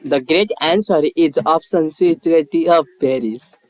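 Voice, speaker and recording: A quiz narrator reading scripted questions and answer options aloud in a formal, measured way. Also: medium pitch, poor audio.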